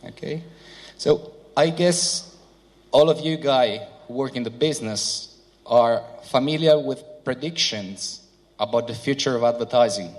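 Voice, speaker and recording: A man whose first language is not English, giving a seminar talk through an interpreter, with many pauses and fillers. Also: mid-range voice. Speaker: seminar speaker. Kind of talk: conference presentation with interpreter. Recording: hall reverb.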